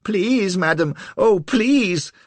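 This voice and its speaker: A man voicing a timid little male church official who pleads in a high, squealing, frantic voice. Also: very animated, moderate pace, no echo, full character voice. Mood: pleading. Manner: frantic and whimpering.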